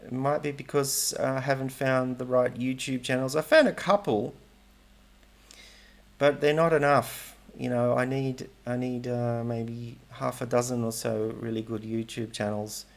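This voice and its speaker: A man's voice: mid-range voice, little pitch movement, unhurried, gravelly. A middle-aged man talking casually in a vlog, rambling and hesitant, often restarting and correcting himself. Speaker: language learning vlogger.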